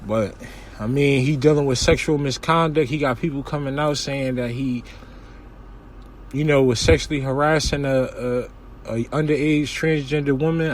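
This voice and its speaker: A young man from hosting a casual solo podcast from his kitchen, giving news commentary in a relaxed, slangy way with lots of shout-outs. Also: mid-range voice, slight background noise. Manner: laid-back slangy talk with frequent shout-outs. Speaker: podcast host.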